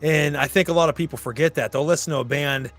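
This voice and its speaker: A man's voice: fairly high pitch, fast, fairly bright tone, soft background music. A man hosting a podcast in a casual chat, telling stories, swearing freely and acting out his own reactions. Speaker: podcast host.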